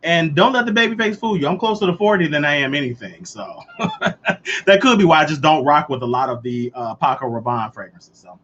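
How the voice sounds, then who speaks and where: A man's voice: fairly high pitch, lively intonation, brisk, fairly bright tone. A man in his late thirties hosting a casual live chat, talking loosely and answering viewers as he goes. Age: 30s. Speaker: livestream host. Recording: dull lo-fi livestream audio.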